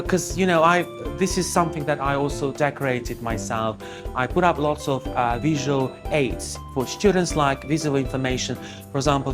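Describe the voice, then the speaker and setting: A man from Russia, an English teacher talking earnestly in an interview, pausing often as he thinks. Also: a little high. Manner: earnest, chatty, with many pauses. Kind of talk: documentary interview talk. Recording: background music and ambient noise.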